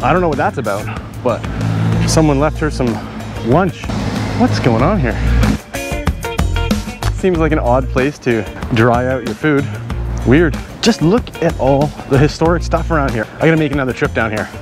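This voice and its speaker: A man's voice: medium pitch, expressive, normal speed, long pauses, over loud music. A man in his thirties filming a casual travel vlog outdoors at arm's length, thinking aloud as he wanders without a plan. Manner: easygoing, thinking aloud, unplanned. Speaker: travel vlogger. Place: outdoors in parks and streets. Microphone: handheld camera at arm's length.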